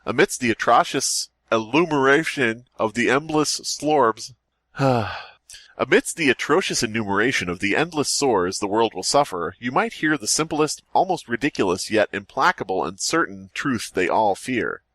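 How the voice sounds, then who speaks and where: A man's voice: mid-range voice, lively intonation, normal speed, a bit bright, no room sound. A man hosting a podcast, mixing casual comedic chat with reading horror stories aloud, joking, swearing and stumbling over words. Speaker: podcast host.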